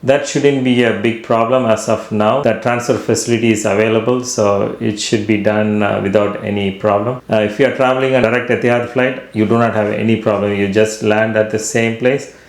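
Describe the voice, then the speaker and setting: Low-voiced man explaining slowly. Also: little pitch movement, reverberant. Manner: slow, explanatory, with "uh" fillers. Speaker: travel agency founder guest. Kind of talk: interview answers.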